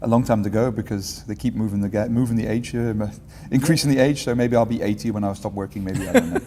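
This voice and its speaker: Mellow-voiced man in a reverberant room. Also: on the low side, fast, slight background noise. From England. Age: 40s. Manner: relaxed, rambling, self-correcting with light humor. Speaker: guest, entrepreneurship teacher. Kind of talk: casual interview answers.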